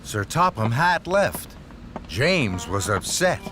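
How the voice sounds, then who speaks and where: A man narrating a scripted children's story in an expressive storytelling manner. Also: mid-range voice, slow pace. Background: music.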